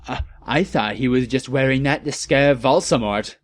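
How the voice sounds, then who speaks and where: A man's voice: medium pitch, normal speed, no echo, close to an everyday voice. A man voicing an angsty, self-absorbed teenage goth girl in a reading of amateur fan fiction. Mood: indignant.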